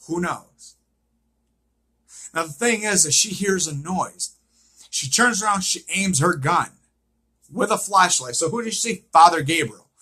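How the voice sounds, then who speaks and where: A man delivers informal fan commentary in a forceful, slightly high voice with a rough husky edge. He is emphatic and repetitive, leaning on rhetorical questions as he works through his recap. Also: expressive, unhurried, long pauses, low-quality recording.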